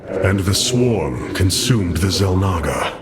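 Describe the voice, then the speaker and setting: Resolute alien commander, sweeping intonation. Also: male voice, low voice, expressive, slow, heavy background noise. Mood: grave. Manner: grave, commanding, formal. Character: resolute, noble male alien commander rallying his people. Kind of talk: dramatic video game voice acting.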